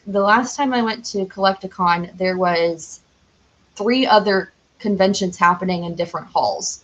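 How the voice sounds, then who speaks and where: A woman's voice: fairly low pitch, poor audio. A young adult American woman chatting casually as a podcast guest, telling stories in a giggly way. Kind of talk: casual chat.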